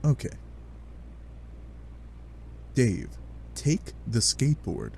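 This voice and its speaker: A man giving animated, sardonic narration of a comic adventure, with playful dramatic asides. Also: mid-range voice, drawn-out words, vocal fry, slight background noise. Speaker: narrator of a fan dub read-along.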